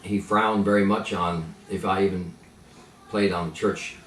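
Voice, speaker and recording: An older man who grew up speaking Pennsylvania Dutch, reminiscing slowly with many pauses and fillers. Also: deep voice, steady tone, a bit bright. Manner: halting, many fillers and restarts. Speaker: interviewee. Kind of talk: reminiscing in conversation. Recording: echoey room, mic at a distance, faint noise.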